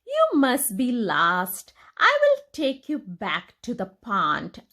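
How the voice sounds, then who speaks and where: A woman voicing a kind, excitable young male cat from a children's storybook, earnest and reassuring. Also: a little high, slow pace, full character voice.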